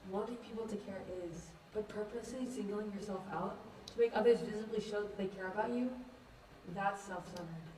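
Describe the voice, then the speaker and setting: A woman's voice: mid-range voice, fairly quick, close to an everyday voice. A young woman in a student stage play, acting a frank, earnest teenage girl who bluntly reasons with others. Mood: blunt, reasoning. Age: young adult. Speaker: student actor in a one-act play. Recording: echoey, distant mic, clear noise.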